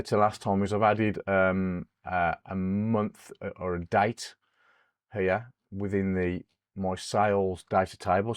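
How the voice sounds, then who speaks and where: A middle-aged man, hoarse from a cold, hosting a screen-recorded spreadsheet tutorial. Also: fairly low pitch, a little mellow. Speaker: host of an Excel tutorial channel.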